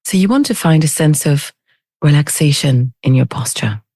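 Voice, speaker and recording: Female meditation teacher, low mellow voice, very measured delivery, close dry sound. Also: expressive, breathy edge, no echo. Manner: gentle, unhurried, with long pauses. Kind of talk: guided meditation.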